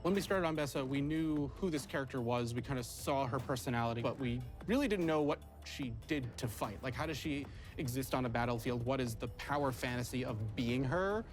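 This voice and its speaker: A man's voice: mid-range voice, fairly quick, soft background music. A man, a game developer, explaining his work in a behind-the-scenes interview.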